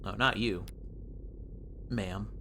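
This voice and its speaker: A man's voice: lowish voice, drawn-out words, creaky, background noise. A man giving casual playthrough commentary as a game streamer, playfully musing aloud as he goes. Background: game music.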